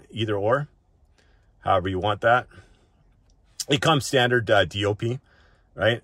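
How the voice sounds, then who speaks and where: A man's voice: medium pitch, fairly bright tone, no echo, studio quality. A man hosting a gadget walk-through in casual, unscripted tutorial talk, conversational and often checking in with short tag questions. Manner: conversational, often tags sentences with "right?".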